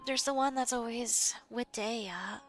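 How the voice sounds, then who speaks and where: A woman's voice: fairly high pitch, measured pace, soft background music. A woman streamer making casual, playful, jokey comments while playing a video game.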